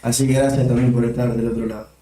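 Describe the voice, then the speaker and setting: A man with an Argentine Spanish accent, a chatty guest on a casual stream recorded in a home set, tossing in quick jokes. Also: medium pitch, level tone, warm tone, reverberant, far from the mic, rough recording. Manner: chatty, jokey, quick remarks. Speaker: guest co-host.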